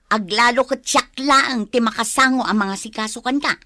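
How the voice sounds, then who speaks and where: A woman plays an exasperated wife in a radio drama, worn down by caring for her mother. Her voice is somewhat high and grumbling, in a dry, close recording. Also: moderate pace, pushed and bright, no room sound. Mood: impatient.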